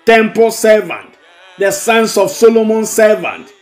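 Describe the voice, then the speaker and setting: High-pitched Ghanaian preacher man over music. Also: very animated, unhurried. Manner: exhorting, repeats phrases. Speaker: pastor. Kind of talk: devotional sermon with prayer and blessings. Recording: music bed under the voice.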